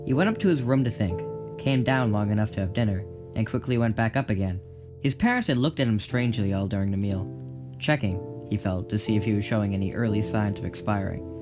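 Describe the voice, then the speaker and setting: Low-voiced man narrating over guitar music. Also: fast. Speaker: audiobook narrator. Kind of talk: scripted story narration for audio drama. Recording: prominent guitar music behind. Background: plucked guitar music.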